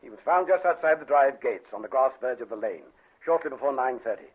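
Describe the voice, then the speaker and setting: Husky, fast-talking British police inspector. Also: male voice, mid-range voice, lively intonation. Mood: brisk, weary. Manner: clipped sentences, brusque. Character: brisk, dogged, gruff police chief inspector. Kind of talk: radio drama acting. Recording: narrow-band vintage radio recording.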